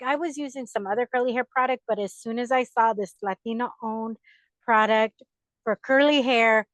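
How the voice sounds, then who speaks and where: A woman's voice: a little high, no echo, poor audio. A young woman hosting a podcast, chatting casually and animatedly, laughing often. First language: English. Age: young adult. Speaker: podcast host. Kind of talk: casual interview chat.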